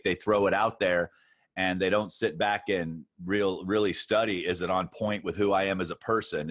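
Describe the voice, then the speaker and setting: Man, low deep voice, podcast host, engaged explanatory talk, everyday analogies. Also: fairly bright tone. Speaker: podcast host, music business coach. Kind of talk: casual podcast interview.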